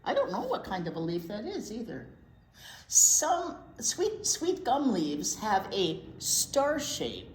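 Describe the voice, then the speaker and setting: A woman's voice: medium pitch, wildly swooping pitch, takes it slowly. An American woman, a storytime teacher, talking and reading to young children with simple questions and chatty asides. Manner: asks the listeners simple questions. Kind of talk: picture-book read-aloud with chatty asides to young children. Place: indoors in a library. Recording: echoey room with faint background noise.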